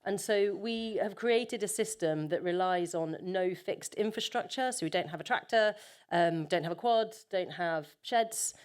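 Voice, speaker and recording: A woman's voice: lowish voice, a little slow, smooth. A woman giving a conference panel talk about her work and farming business. She speaks conversationally, with many filler ums and frequent self-corrections.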